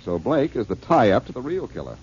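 An actor playing a confident detective who explains how a crime was done, in a 1940s radio drama. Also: male voice, mid-range voice, big pitch swings, fairly quick, darkish tone, a little hiss or hum. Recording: dull 1940s radio recording.

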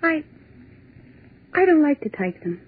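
A woman's voice: sits high, sing-song, measured pace. An actress playing a timid, squeamish but good-natured young woman typist, earnest and fretful, in 1940s radio drama style. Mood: nervous, squeamish. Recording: tinny, noisy 1940s radio recording.

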